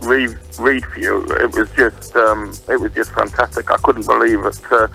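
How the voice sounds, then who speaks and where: A man's voice: mid-range voice, steady tone, normal speed, gravelly, music in the background, low-quality recording. A man chatting casually as a playwright guest on the radio, modest and a little hesitant. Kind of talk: casual radio interview chat.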